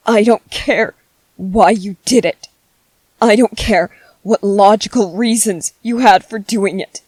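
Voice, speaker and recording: A woman voicing a grieving young widow and mother in a dramatic monologue, slow and heavy with sorrow. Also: sits high, darkish tone, no room sound, low-quality recording. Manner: slow, heavy with grief, at times tearful.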